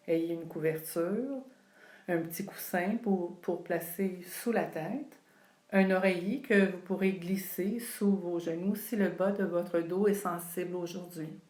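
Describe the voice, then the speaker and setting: A woman leading a guided yoga relaxation, speaking calmly and soothingly with long pauses between phrases. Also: lowish voice. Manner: calm, unhurried, soothing delivery with long pauses. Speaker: yoga nidra instructor. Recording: roomy, echoing sound.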